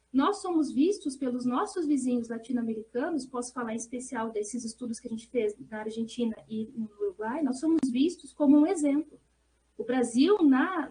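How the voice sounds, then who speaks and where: A woman's voice: high-pitched, warm tone, poor audio. A woman with a Brazilian Portuguese accent giving an earnest, discursive explanation as a researcher on an academic panel. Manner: earnest, discursive, often checks with "né".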